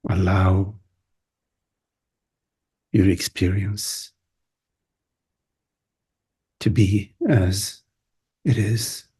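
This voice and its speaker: A man leading a guided meditation as a teacher, speaking in short contemplative phrases with long pauses. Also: low voice, flat delivery, warm tone, husky. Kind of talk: guided meditation, spoken softly to listeners.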